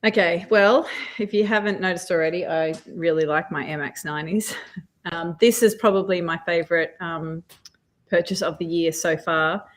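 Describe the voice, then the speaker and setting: A woman chatting casually over a video call about her sneakers, hesitant with many pauses. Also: on the low side. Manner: relaxed, halting, many ums. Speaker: sneaker battle contestant. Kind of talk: casual chat.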